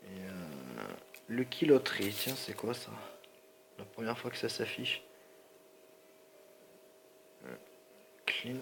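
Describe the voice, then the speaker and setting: Guy w a sore throat n stuffy nose rambling thru his game, slangy, lots of sorrys, low gravelly voice. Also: big pitch swings, slow, long pauses, background noise. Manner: rambling, slangy, keeps apologizing. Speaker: gaming channel host. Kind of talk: casual gameplay commentary.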